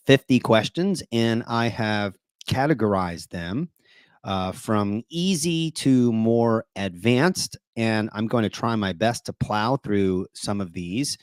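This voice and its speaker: Soft-spoken man hosting a live stream. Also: on the low side, no room sound. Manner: soft-spoken, deliberately quiet to save his voice; rambling with many fillers. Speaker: host of apologetics live stream. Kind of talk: casual live stream talk.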